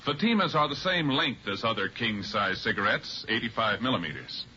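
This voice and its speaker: A man reading scripted 1950s radio announcements in a formal, measured style. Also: lowish voice, lively intonation, bright, forceful tone, a little hiss or hum. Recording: muffled vintage radio recording. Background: music.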